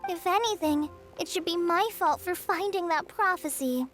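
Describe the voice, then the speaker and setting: Very high, earnest young girl. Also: moderate pace, fairly bright tone, soft background music. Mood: concerned. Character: earnest, caring young girl, modest and eager. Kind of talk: anime-style game voice acting.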